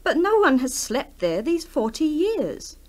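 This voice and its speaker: An anxious old-manor housekeeper in a 1960s radio play. She speaks slowly and respectfully in a fairly high, very expressive voice. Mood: deferential. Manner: respectful, hesitant, fretful. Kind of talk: 1960s radio drama acting.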